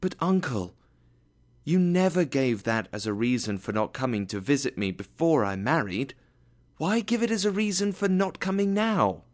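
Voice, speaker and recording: A man voicing a cheerful, warm-hearted young nephew in a storybook tale, earnest and eager. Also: medium pitch, expressive, moderate pace, close to an everyday voice. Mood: pleading.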